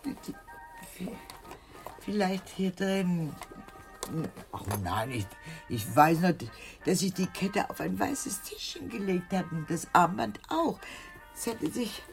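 An actress playing a scatterbrained, extravagant middle-aged aunt in a radio crime play, gushing theatrically in halting, sighing phrases. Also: female voice, on the low side, very animated, creaky, soft background music, heavily acted. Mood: flustered, dazed.

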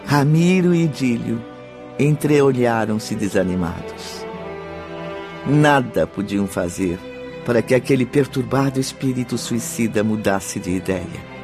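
A man's voice: medium pitch, a little slow, over music, close to an everyday voice. A man narrating a radio drama as a solemn storyteller, in dramatic scripted style. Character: solemn narrator of a spiritist tale.

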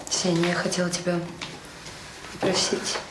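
An actress playing a devoted, busy middle-aged children's home director in a Soviet radio drama, speaking in a soft, conversational, hesitant way. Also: female voice, on the low side, monotone, normal speed, natural voice. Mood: hesitant, confiding. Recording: roomy, clearly noisy old radio play recording.

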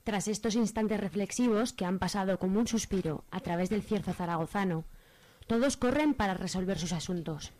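A female narrator with a Spain accent reads a radio soap script. Her pitch is middling and her telling is wry, in storytelling style. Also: a little fast, darkish tone. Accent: Spanish from Spain.

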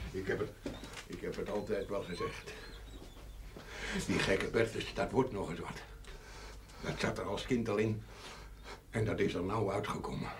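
A creaky, slow-talking old village father. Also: fairly low pitch, fairly even intonation. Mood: rambling, proud. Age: older. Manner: slow, rambling, stubborn. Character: grieving old village father, proud and muddled, drinking. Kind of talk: television period drama acting. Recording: noisy 1970s television soundtrack.

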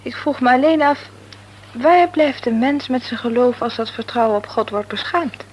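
An actress playing a thoughtful young student full of doubt in a Dutch radio play, speaking hesitantly. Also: female voice, fairly high pitch, moderate pace, natural voice. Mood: pensive, troubled. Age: young adult. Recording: noisy old radio play recording.

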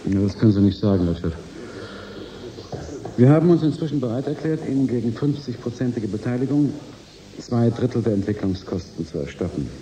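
A man's voice: mid-range voice, lively intonation, brisk, dark tone, echoey room, highs cut off, natural voice. A man playing a cool, calculating business negotiator in a radio drama, speaking in a sardonic, emphatic manner. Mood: haggling.

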